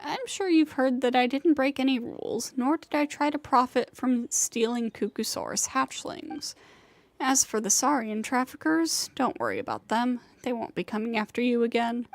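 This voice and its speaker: A woman's voice: on the high side, expressive, moderate pace. A woman voicing a burnt-out, self-doubting young female flying rider in a video game, weary and bitter as she vents. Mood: explaining, matter-of-fact. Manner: weary, venting, self-deprecating. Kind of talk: scripted video game voice acting. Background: game music.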